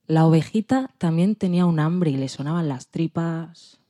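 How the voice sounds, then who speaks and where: A woman with a Spanish accent from Spain narrates a children's tale. Her voice is slightly low and mellow, and her storytelling is expressive. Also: normal speed. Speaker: podcast presenter and story narrator.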